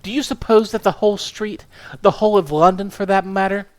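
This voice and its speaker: A man's voice: high voice, fairly even intonation, fairly quick, pitched above the performer's own voice, natural voice. A man voicing a small, furious married woman who screams and scolds her husband. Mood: furious scolding. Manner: shrieking, scolding.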